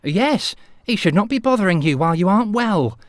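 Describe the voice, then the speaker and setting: A man's voice: high voice, expressive, a little fast, no echo, clean studio sound, voice pushed up. A man voicing an anxious older female housekeeper who sounds fretful and protective. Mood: worried.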